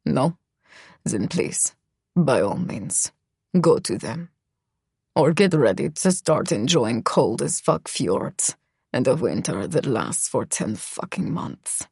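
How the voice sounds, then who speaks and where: A woman voicing a cold, domineering young man who talks in a low, quiet growl. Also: sing-song, takes it slowly, creaky, slightly rough, exaggerated voice. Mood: menacing.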